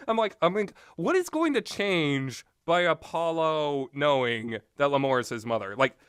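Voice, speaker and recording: A man's voice: fairly high pitch, moderate pace, smooth, dry recording, clean studio sound. Rambling man giving casual game opinions. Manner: animated, exasperated asides, acts out imagined quotes. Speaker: video game reviewer.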